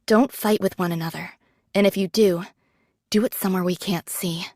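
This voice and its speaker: An actress playing a rank-and-file android soldier in a game drama, frank and earnest, admiring yet reproachful. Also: female voice, on the low side, steady tone, moderate pace, a little mellow, no room sound, clean studio sound.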